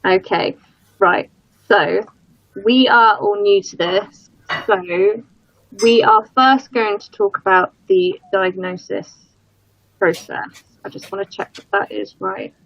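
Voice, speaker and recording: A woman's voice: medium pitch, slow, creaky, no room sound. A woman hosting a casual livestream panel over a video call, chatty and self-deprecating. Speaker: livestream host. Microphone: computer mic on a video call. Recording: dull, lo-fi.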